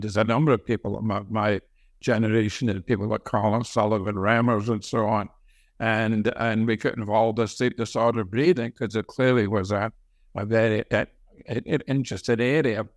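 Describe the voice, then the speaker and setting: A man's voice: fairly low pitch, no echo, clean studio sound. An older man from Scotland with a Scottish accent, reminiscing conversationally as an interview guest, often repeating words as he goes. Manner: halting, repeats words as he talks. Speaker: interview guest, senior medical professor and researcher.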